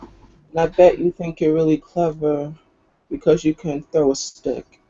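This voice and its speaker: Man playing Victoria, a scornful, high-pitched werewolf. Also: little pitch movement, measured pace, dark tone, lo-fi sound, lightly acted. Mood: scornful anger. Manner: scornful, confrontational, sarcastic. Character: Victoria, hostile, domineering, foul-mouthed female werewolf. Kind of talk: in-character tabletop roleplay.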